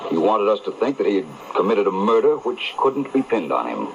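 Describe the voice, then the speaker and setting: A man's voice: fairly low pitch, big pitch swings, brisk, gravelly. An actor playing a shrewd, urbane detective in an old radio mystery, explaining his case with assurance. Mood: assured. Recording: dull, hissy AM radio reception.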